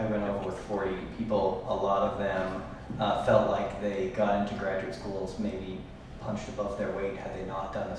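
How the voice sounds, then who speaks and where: A middle-aged man speaking as a panelist, reflective and halting with many fillers. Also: fairly low pitch. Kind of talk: panel talk to a live audience. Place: large room at a live event. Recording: echoey hall, speaking from far off, noisy.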